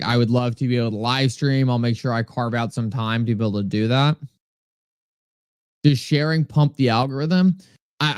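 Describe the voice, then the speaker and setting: A man's voice: mid-range voice, darkish tone, no echo, clean studio sound. Chatty New England man hosting a livestream. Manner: chatty, reads viewer comments aloud and thanks them by name. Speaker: livestream host. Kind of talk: casual livestream talk answering chat.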